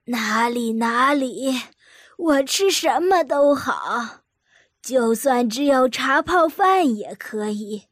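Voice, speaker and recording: An actress playing a composed, polite old lady who gently probes in an audio drama. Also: high-pitched, lively intonation, no room sound, clean studio sound, exaggerated voice. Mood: polite, gracious. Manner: courteous, measured.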